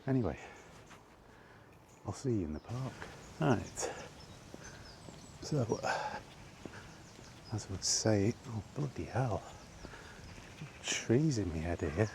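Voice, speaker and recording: British man, low voice, unhurried rambling monologue, hesitant and a bit grumbly, noisy outdoor recording. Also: expressive, creaky. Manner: hesitant, meandering, mildly grumbling. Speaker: vlogger. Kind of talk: rambling casual monologue while out walking. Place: outdoors, walking in a park.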